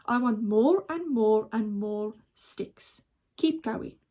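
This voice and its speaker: A woman's voice: a little high, animated, slow pace, dark tone. A woman voicing a tiny, practical, determined father in a children's story, giving firm and emphatic orders. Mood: bossy, urgent.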